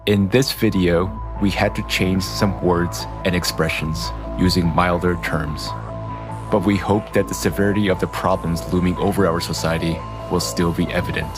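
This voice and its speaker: A man narrates a documentary script in a very deep voice. Music plays clearly behind him. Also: fairly even intonation, normal speed. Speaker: video narrator. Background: background music.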